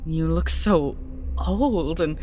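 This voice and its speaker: An actress playing a bitter, grieving former slave and royal lover in an audio drama, speaking haltingly and with anguish. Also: female voice, deep voice, a little mellow, slight background noise. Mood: bleak, bitter.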